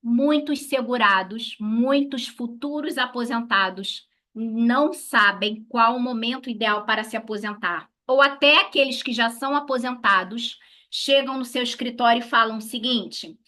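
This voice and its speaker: Brazilian woman, lawyer-instructor. Higher-pitched, bright, forceful delivery; didactic, question-and-answer teaching style. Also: normal speed, hardly any pauses. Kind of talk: instructional talk to colleagues.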